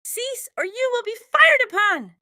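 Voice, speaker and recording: A woman voicing a fierce barbarian dwarf warrior in an audiobook, shouting out commands. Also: extremely high pitch, very animated, normal speed, no echo, clean studio sound, pitched above the performer's own voice, full character voice. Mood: fierce, commanding.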